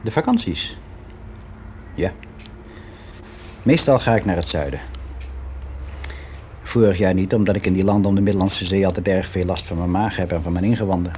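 Low-voiced weary older office clerk played by a man. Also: lightly acted. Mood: candid. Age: 60s. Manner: reflective, halting, confiding, with repeated phrases. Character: weary middle-aged male office clerk, confiding, resigned, educated. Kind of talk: naturalistic radio drama monologue. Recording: dull, noisy radio play recording.

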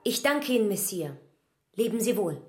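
Radio play heroine, played by a woman: dignified, courteous, earnest, medium pitch, set in a reverberant room. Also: very animated, moderate pace, darkish tone, faint music behind, close to an everyday voice. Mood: majestic. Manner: formal, proud, earnest. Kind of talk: German radio play acting.

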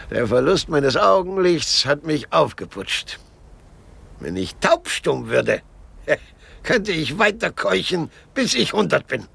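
A frail old husband in a radio drama, brooding and querulous with dry, morbid wit. The delivery is slow and halting, the pitch swinging widely over a gravelly, creaking tone. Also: male voice, a bit bright, heavily acted. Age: older. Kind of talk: theatrical German radio play acting.

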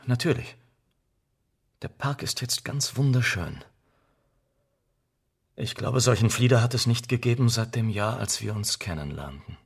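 An actor in a German radio drama playing a worldly, cynical, middle-aged aristocrat with an urbane, ironic, theatrical delivery. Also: male voice, medium pitch, expressive, a little slow, vocal fry, long pauses, husky, heavily acted. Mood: urbane, dismissive. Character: worldly, cynical aristocratic older friend, witty and languid.

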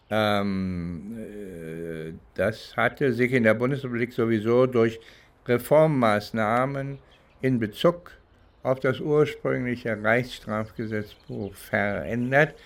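Older male legal professional from East Germany, slow and deliberate, reflective interview delivery with frequent pauses. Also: on the low side, vocal fry. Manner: deliberate, halting, pausing between phrases. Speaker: legal professional recounting his experience. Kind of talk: reflective spoken recollection, interview-like.

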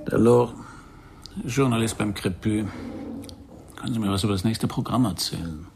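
Actor as a gravelly, wry private eye. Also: male voice, on the low side, normal speed, background noise, close to an everyday voice. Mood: brisk, probing. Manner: dry, laconic, sardonic. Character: wry, hard-boiled 1950s Paris private detective. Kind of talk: first-person crime radio play narration and dialogue.

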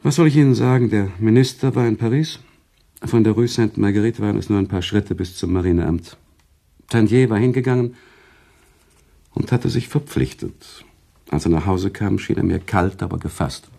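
A sober author telling his tale in a radio drama. His low, mellow voice is husky and creaky, delivered with measured restraint. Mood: sober, reflective. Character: reflective male author-narrator, a sober, sympathetic confidant. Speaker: narrator. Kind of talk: radio drama narration and dialogue.

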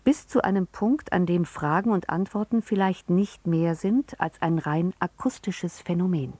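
A woman's voice: lowish voice, normal speed, darkish tone. A woman reading a scripted introduction as a radio narrator.

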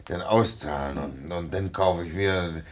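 An actor playing a bitter, hard-drinking former tram driver in a radio play, hesitant and grumbling. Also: male voice, low-pitched, unhurried. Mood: sullen, boastful. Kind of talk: naturalistic German radio play dialogue.

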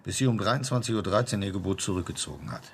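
A man's voice: low voice, expressive, quick pace, gravelly, slight background noise. An actor playing a terse, probing male police inspector in a radio crime drama, with dry, matter-of-fact delivery.

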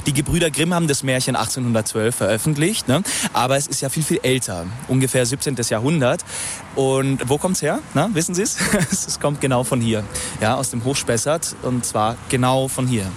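Man acting eager eccentric scholar, chatty, hesitant, medium pitch, noisy outdoor field recording. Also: moderate pace, lightly acted. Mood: enthusiastic, explaining. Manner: chatty, hesitant, tags sentences with questioning "ne". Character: eccentric, self-assured fairy-tale archaeologist, enthusiastic theorist. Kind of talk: naturalistic radio drama interview.

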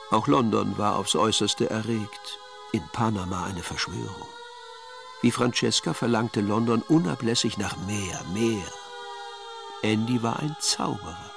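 A man's voice: fairly low pitch, vocal fry. A man narrating a German crime radio play as a wry, detached storyteller with a dry, sardonic manner. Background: music.

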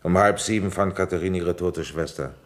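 A man playing a methodical, probing police commissioner who also narrates a radio crime play in a deliberate manner. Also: low voice, natural voice. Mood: stern, probing. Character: methodical police commissioner investigating a village murder. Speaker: detective and narrator. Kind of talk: radio play acting and first-person narration.

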